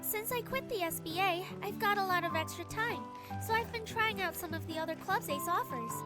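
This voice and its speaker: A woman's voice: very high voice, brisk. A woman voicing a friendly, upbeat teenage schoolgirl in a visual novel game, polite and airy. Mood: casual, friendly. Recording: music playing behind the voice.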